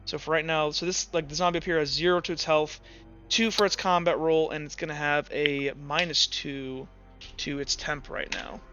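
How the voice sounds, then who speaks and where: A man's voice: fairly high pitch, fairly even intonation, normal speed, bright, forceful tone, muffled recording, soft background music. A man casually narrating a tabletop roleplaying game as game master, thinking aloud and correcting himself as he goes.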